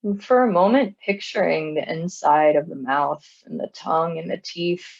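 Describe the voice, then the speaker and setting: A woman leading a guided body scan meditation in a calm, soothing manner, leaving long pauses between phrases. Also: on the low side, creaky, lo-fi sound. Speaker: meditation teacher.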